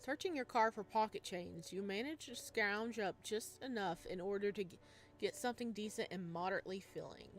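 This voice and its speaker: A woman gaming streamer reading a visual novel aloud, with expressive narration and playful, casual asides. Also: mid-range voice.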